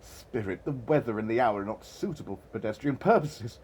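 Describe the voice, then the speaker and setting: A man's voice: mid-range voice, lively intonation, a little fast, darkish tone, a little hiss or hum, close to an everyday voice. A man playing a wary, complaining old miser in an audio drama. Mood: grumbling.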